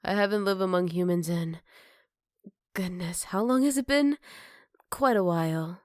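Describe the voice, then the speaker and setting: Soft-spoken motherly werewolf played by a woman, very dry. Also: on the low side, dry recording, lightly acted. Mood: calm, matter-of-fact. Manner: soft-spoken, hesitant pauses, tender teasing. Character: gentle, caring motherly werewolf woman living in the woods. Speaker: voice actor. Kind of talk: ASMR roleplay addressed to the listener.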